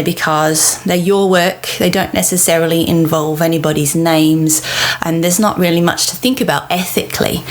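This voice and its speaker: A middle-aged woman talks through her solo podcast as a teacher would, friendly and explaining step by step. She often asks rhetorical questions. Her voice sounds a little bunged up from a cold. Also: mid-range voice. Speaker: podcast host, teacher and lecturer. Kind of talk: solo educational podcast talk.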